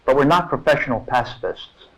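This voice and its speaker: A man's voice: mid-range voice, animated, a little fast, a bit bright, poor audio. An American man delivering an earnest prepared statement on a harsh old film soundtrack. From United States.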